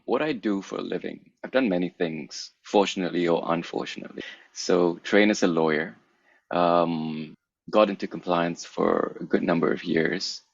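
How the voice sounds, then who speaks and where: A man in his thirties from Singapore, co-hosting a casual podcast chat, playful and quick to laugh as he asks questions. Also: low-pitched, creaky, no room sound, muffled recording. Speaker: podcast co-host.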